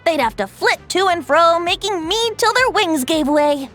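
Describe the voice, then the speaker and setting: A cheerful young male bard from a fantasy game. The voice is high and swoops around in a theatrical, teasing way. Soft music plays behind it. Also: animated, a little slow, exaggerated voice. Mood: playful teasing. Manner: playful, theatrical. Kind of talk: video game voice acting.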